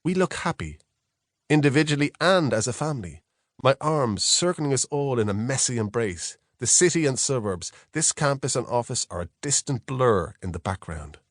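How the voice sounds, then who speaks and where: Male audiobook narrator, mid-pitched, expressive range, measured first-person storytelling. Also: no echo, studio quality. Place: close, dry studio.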